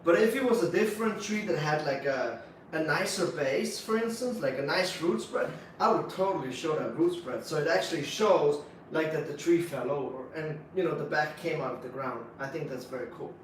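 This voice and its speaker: A man with a Swiss accent co-hosting a casual bonsai livestream, explaining techniques in a relaxed, practical way with lots of fillers. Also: a little high, normal speed, slight background noise. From Switzerland. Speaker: bonsai nursery co-host. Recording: echoey room, mic at a distance.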